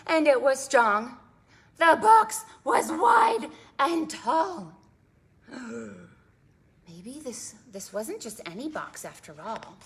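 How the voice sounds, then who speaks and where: Bright, high-pitched woman reading to preschoolers. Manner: animated, sing-song, dramatic emphasis for small children; prompts listeners with questions. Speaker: children's librarian and storytime host. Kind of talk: preschool read-aloud storytime.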